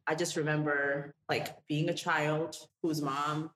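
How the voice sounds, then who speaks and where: Woman, 30s, from Ethiopia, podcast guest, thinks aloud with lots of fillers; echoey room with clear background noise. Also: low-pitched, little pitch movement, measured pace, fairly bright tone. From Ethiopia, raised in Harlem. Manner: reflective, hesitant, lots of fillers. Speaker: guest, school leader. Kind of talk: podcast interview.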